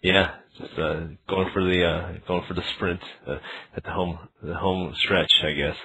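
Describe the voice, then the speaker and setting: Male interviewer, very low pitch, forceful bright edge, halting casual speech, noisy phone recording. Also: fairly even intonation. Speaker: interviewer and developer of a learning game. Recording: phone interview with clear background noise.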